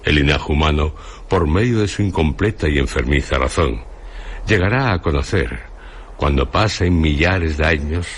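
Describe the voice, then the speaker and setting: An actor playing an ancient, venerable hermit sage near death, speaking solemn, grandiloquent Castilian Spanish in a radio drama. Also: male voice, animated, a little hiss or hum. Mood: grave, stern. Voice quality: deep, husky. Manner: slow, grandiloquent, declamatory. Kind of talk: Spanish radio theatre acting.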